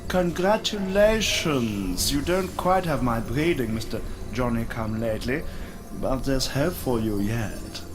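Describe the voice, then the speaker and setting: An actor playing a snobbish ancient centaur prince in a fantasy game, speaking in a patronising aristocratic manner. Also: male voice, medium pitch, expressive, unhurried, lightly acted. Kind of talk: fantasy game character acting. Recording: music behind the voice.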